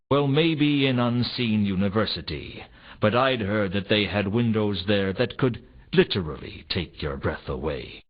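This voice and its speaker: A hardboiled detective from a noir video game, world-weary and suspicious. His low voice swings widely in pitch while staying dry and sardonic. The audio is slightly dull, like compressed console game sound. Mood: wry, impressed. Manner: dry, deadpan, wry.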